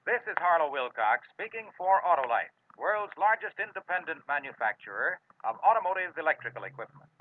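High, lively American radio announcer man. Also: no room sound. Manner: polished, formal. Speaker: radio announcer, sponsor spokesman. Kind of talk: 1950s radio commercial announcing. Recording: tinny, narrow-band vintage radio recording.

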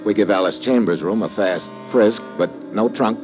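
An actor playing an impatient, hard-boiled private detective in old-time radio style, clipped, slangy and wisecracking. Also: male voice, lowish voice, normal speed, over music, close to an everyday voice. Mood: terse, intent. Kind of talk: old-time radio detective acting with first-person narration. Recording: dull vintage radio recording.

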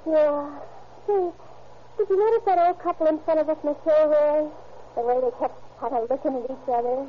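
A woman's voice: high-pitched, brisk, a little hiss or hum, close to an everyday voice. An actress playing a sweet, earnest young woman in love, in a 1940s radio drama with a wistful, gushing manner. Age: young adult. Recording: tinny lo-fi old radio broadcast.

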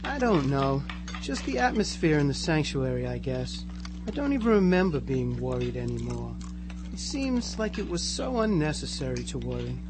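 Over clear noise and hum comes a man playing a teenage small-town boy in a live radio drama, blissfully converted and eerily placid. His highish pitch moves in wide, lively swings as he reassures with gushing earnestness. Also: normal speed. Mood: serene, reassuring.

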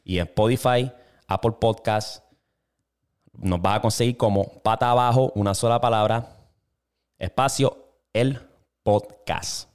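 Laid-back Puerto Rican man, low smooth voice. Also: measured pace, long pauses. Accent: Puerto Rican Spanish. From Puerto Rico. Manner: slangy, laid-back, swearing freely. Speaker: podcast host. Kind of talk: casual solo podcast chat. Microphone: single camera with mic.

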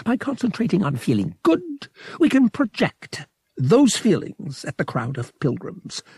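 Man voicing a booming, slow, smug alien priest. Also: wildly swooping pitch, darkish tone, breathy edge, dry recording, clean studio sound, full character voice. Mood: smug, amused. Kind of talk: audiobook character dialogue.

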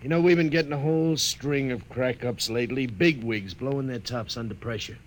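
An actor playing a brusque, matter-of-fact police sergeant who has a young daughter, in 1950s radio drama style. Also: male voice, mid-range voice, a little fast, a little hiss or hum, close to an everyday voice. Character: gruff police sergeant, a family man.

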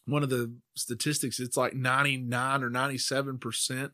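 A man's voice: mid-range voice, level tone, fairly quick, bright, forceful tone, no echo, clean studio sound. A man with an American accent hosting a faith podcast, talking in an informal, conversational way. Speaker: podcast host; church member speaking on faith. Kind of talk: casual discussion.

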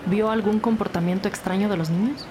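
A woman's voice: mid-range voice, fairly even intonation, brisk, noisy background, natural voice. An actress playing a commanding female police detective who leads an investigation in an audio drama. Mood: brisk, questioning.

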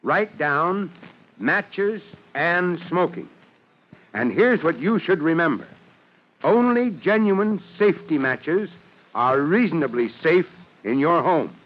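Fairly high-voiced, patient fire chief. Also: male voice, expressive, slow. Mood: earnest instruction. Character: patient, authoritative fire chief explaining things kindly. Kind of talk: old-time radio drama acting. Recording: dull old radio recording.

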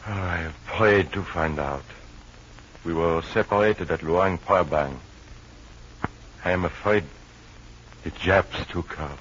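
A man's voice: deep voice, slow, pauses often, a bit bright. An actor playing a sick, delirious Frenchman in a radio drama, fervent, with a French accent and French phrases mixed in. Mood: wistful. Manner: fervent, drops French phrases into his talk. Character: sick, delirious Frenchman, devoted and stubbornly noble. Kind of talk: old-time radio drama acting. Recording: muffled, noisy old radio recording.

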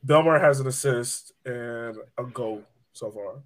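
A man's voice: mid-range voice, a little slow. A man in casual sports chat as a podcast panelist, citing match statistics.

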